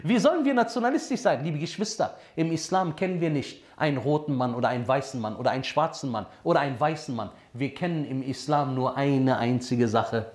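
A man's voice: medium pitch, fairly quick, few pauses, reverberant. A man preaching a sermon lesson, asking his listeners questions and answering them himself, with Arabic phrases mixed into his talk. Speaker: Islamic preacher.

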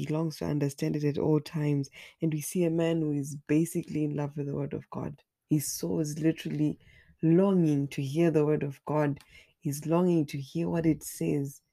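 A woman with a hoarse, raspy voice from a flu, earnestly leading an online Bible study and sometimes repeating words as she searches for the next one. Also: low-pitched, mellow.

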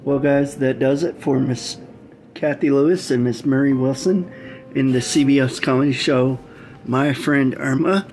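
A man hosting a podcast, reading a show outro in a halting way, pausing in mid-phrase. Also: mid-range voice, steady tone, darkish tone, soft background music. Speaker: podcast host.

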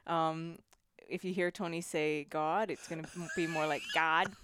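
A woman's voice: deep voice, little pitch movement, moderate pace, very noisy. A woman from Canada, co-hosting a casual podcast chat, easygoing and giggly. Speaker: podcast co-host.

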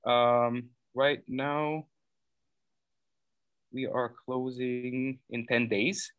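Man, 30s, from Lebanon; mid-pitched, earnest, filler-heavy explainer, dry mic. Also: level tone, drawn-out words, clean tone, dry recording, narrow, tinny audio. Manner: earnest, explains at length with frequent uh fillers and self-corrections. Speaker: program founder interviewed as guest. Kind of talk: conversational interview answers.

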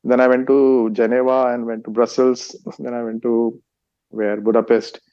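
Middle-aged Indian man with an Indian English accent, Hindi first language, halting guest answers, dry close sound. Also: medium pitch, level tone, warm tone, no room sound, lo-fi sound. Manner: rambling, halting, strings together lists of places. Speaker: meditation teacher and author as guest. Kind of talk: interview answers.

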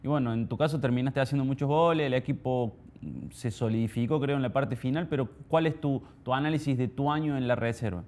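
An Argentine man hosts a casual sports interview in a medium-pitched voice. He builds long, conversational questions and encourages his guest as he goes. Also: brisk, a little mellow.

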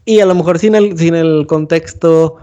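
A man's voice: on the high side, moderate pace. Slangy man chatting. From Monterrey, Mexico. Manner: slangy, animated, acts out quoted voices. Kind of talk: casual chat.

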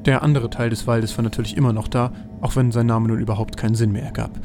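Male narrator for a fantasy audio play, mid-pitched, scripted storytelling over light background music. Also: little pitch movement, fast.

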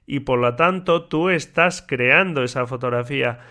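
A man's voice: mid-range voice, moderate pace. Stuffy-nosed man hosting a chatty podcast. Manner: relaxed, chatty, many fillers and restarts. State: congested, stuffy nose. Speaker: podcast host. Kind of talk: casual solo podcast talk.

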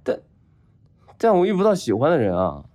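An actor playing a spoiled, dramatic, lovelorn heir of about thirty in a modern audio drama, with a whiny, theatrical delivery. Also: male voice, on the high side, huge pitch swings, brisk, dark tone. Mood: dejected, wistful.

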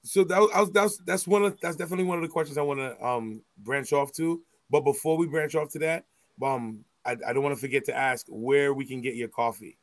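A man co-hosting a casual interview, relaxed and slangy, mixing in French phrases. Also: on the high side, brisk, no room sound.

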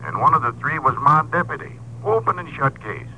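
Sharp, folksy small-town sheriff. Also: male voice, fairly high pitch, very animated, full character voice. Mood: dismissive. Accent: American. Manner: folksy, drawn-out hedging, offhand. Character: folksy, evasive small-town Texas sheriff, breezy and dismissive. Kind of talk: 1950s radio drama acting. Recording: dull old radio recording, faint hum.

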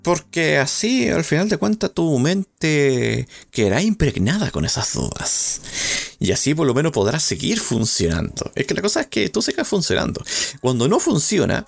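A man's voice: on the high side, big pitch swings, normal speed, gravelly. A man with a Chilean accent, hosting a rambling, self-mocking podcast monologue with lots of swearing. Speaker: podcast host.

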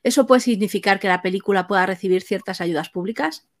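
Woman, interview host, medium pitch, casual, reading listener questions. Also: fast.

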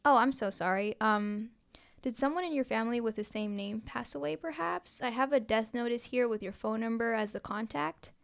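A woman's voice: on the high side, normal speed, vocal fry. A woman voicing a female newspaper obituary clerk in a story, hesitant and placating. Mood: polite, apologetic.

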